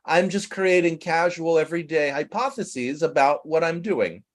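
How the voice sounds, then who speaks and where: A man teaching English to a group on a video call, lively and joking. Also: on the high side, normal speed. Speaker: English teacher leading an online class.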